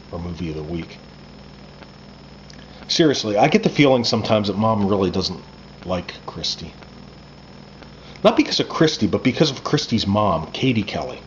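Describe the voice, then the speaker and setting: A man, an author reading his own first-person coming-of-age fiction aloud in a conversational, expressive way. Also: fairly low pitch. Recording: muffled lo-fi with background noise.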